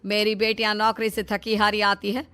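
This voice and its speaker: A woman's voice: medium pitch, monotone, normal speed, bright, forceful tone, smooth, no room sound, soft background music, close to an everyday voice. A woman voicing a bossy middle-aged mother-in-law in a dramatic, emphatic cartoon style. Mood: firm. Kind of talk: Hindi cartoon story acting.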